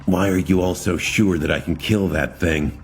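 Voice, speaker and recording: Wary young man, read by a male narrator, deep voice, dry and sarcastic delivery. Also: moderate pace, faint music behind.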